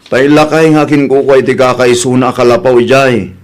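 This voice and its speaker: A man acts out a humble, easygoing farmer husband in an audio drama. He has a middle-pitched voice with an Ilocano accent. His manner is polite and deferential. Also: steady tone, moderate pace, natural voice. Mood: friendly, curious.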